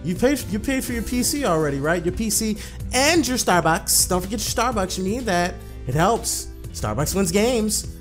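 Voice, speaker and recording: A man's voice: sits high, lively intonation, moderate pace, faint music behind, voice pushed up. A man voicing a brash, sarcastic young female pro gamer in a visual novel, bossy, teasing and blunt.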